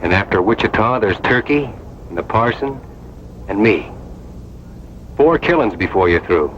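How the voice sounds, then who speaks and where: An actor playing a stubborn, grim, commanding rancher with terse, tough talk in an old-time radio western. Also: male voice, lowish voice, lively intonation, normal speed, fairly bright tone. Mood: defiant, hard. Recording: muffled, noisy old radio broadcast.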